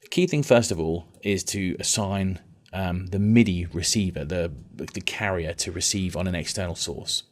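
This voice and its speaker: A man teaching music technology in an informal software tutorial, explaining step by step and restating key points as he goes. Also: low voice, moderate pace, few pauses.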